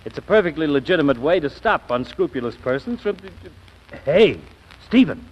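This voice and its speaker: An actor playing a decisive, scheming business adviser in a 1940s-style radio comedy, emphatic and insistent. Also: male voice, a little high, very animated, normal speed, breathy edge, highs cut off. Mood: excited, insistent.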